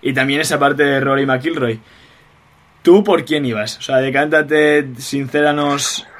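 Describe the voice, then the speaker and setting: A man's voice: mid-range voice, fairly even intonation, measured pace, a bit bright. A young man from Spain hosting an interview podcast, chatty and asking long, rambling questions. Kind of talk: casual conversational interview.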